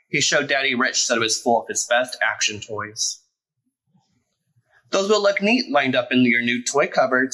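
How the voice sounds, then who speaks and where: A man reading a children's picture book aloud as a storyteller, in an expressive, deliberate way with emphasized words. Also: medium pitch, rough recording. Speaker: storyteller, drag performer.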